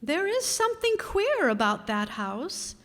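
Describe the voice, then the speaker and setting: A woman playing a gentle, gossipy middle-aged housewife who speaks solicitously, in old-time radio comedy style. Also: high-pitched, very animated, unhurried, big-room sound, exaggerated voice. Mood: wistful. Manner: solicitous, storytelling.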